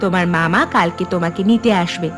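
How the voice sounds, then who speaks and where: A woman's voice: lowish voice, fast talker, a bit bright, soft background music, natural voice. A woman as a cautioning cartoon mother. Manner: admonishing, explanatory. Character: cautioning mother telling her little son a tale. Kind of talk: cartoon dialogue.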